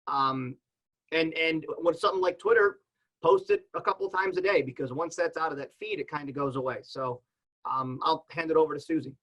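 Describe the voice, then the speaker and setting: Man, slightly high voice, casual panel advice, informal podcaster explaining by example. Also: fairly quick, a bit bright, rough recording.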